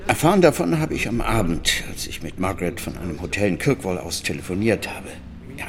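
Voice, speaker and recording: Guarded middle-aged dad in a radio drama, lowish voice, really swoopy intonation. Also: male voice. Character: Alex Henry, soft-spoken father and museum man under questioning. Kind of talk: German radio drama acting.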